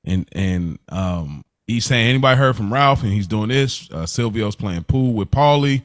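A man hosting a podcast in a casual recap style, slangy and excitable, often repeating himself for emphasis. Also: fairly low pitch, moderate pace, no room sound, studio quality. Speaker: podcast host.

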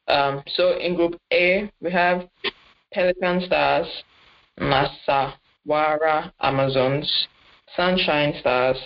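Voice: mid-range voice, steady tone, takes it slowly. Chatty co-host, dull lo-fi audio. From not Nigerian. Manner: chatty, hesitant, self-correcting. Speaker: podcast co-host. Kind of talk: casual sports chat.

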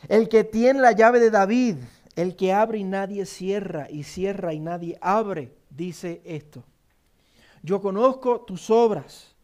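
A man's voice: sits high, a little mellow. A man with a Puerto Rican accent, preaching a sermon, reading scripture emphatically and repeating key phrases. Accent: Puerto Rican Spanish. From Puerto Rico. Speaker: pastor.

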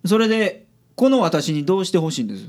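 A man's voice: high voice, big pitch swings, fast, darkish tone. A man playing a grumpy, self-important detective with a Kansai accent in a radio drama. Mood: smoothly polite. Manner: gruff, grumbling, turns pompously polite with clients. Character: grumpy, scrawny, self-styled great male detective.

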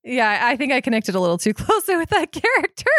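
Giggly woman co-hosting a podcast, lively up and down voice, dry sound. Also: high voice, fast, dry recording. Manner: giggly, hesitant. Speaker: podcast co-host. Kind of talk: casual podcast chat.

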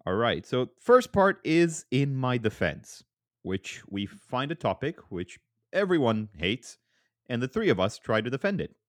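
A man's voice: sing-song, unhurried, no echo, clean studio sound. A man hosting a podcast in casual chat, playful and teasing. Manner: playful, jumps up in pitch for jokes. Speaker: podcast host.

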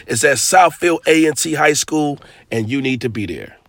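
A man with a cold-roughened, raspy voice hosting a casual basketball podcast, talking loose and hyped with plenty of slang. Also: fairly high pitch, lively intonation, moderate pace, no room sound. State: hoarse from a cold. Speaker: podcast host. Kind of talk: casual sports podcast talk.

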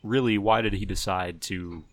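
A man's voice: fairly low pitch, steady tone, moderate pace, fairly bright tone, dry recording. A man co-hosting a podcast, talking informally and a bit hesitantly, with opinions on what he likes. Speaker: podcast co-host. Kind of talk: casual fan discussion of superhero TV.

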